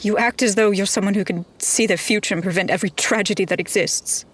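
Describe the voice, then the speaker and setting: A woman's voice: mid-range voice, steady tone, fast talker, close to an everyday voice. A woman playing a blunt, loyal fantasy adventurer in a tabletop roleplaying game. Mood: frustrated. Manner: direct, firm.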